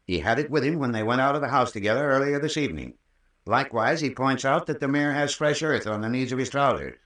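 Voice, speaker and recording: An actor playing a folksy, patient, long-winded country doctor with a rural American accent in an old-time radio drama. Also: male voice, medium pitch, animated, a bit bright, close to an everyday voice. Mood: patient recounting. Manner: folksy, unhurried, patient storytelling. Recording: roomy, distant old radio recording.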